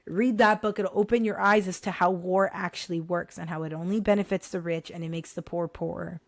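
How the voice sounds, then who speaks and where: A young woman vlogger, hoarse from recent illness, talking casually and animatedly to her viewers about books. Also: on the low side, fairly quick, no echo, studio quality. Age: young adult. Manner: animated, addresses viewers as guys, many restarts. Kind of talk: casual direct-to-camera talk.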